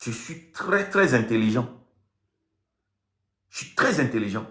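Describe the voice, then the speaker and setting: A man's voice: mid-range voice, animated, unhurried, bright, forceful tone, reverberant. A man in his fifties from Togo, speaking French with a Togolese accent, giving a defiant, impassioned live-stream rant. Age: 50s. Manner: mixes French with local language, defiant and emphatic. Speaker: online activist host.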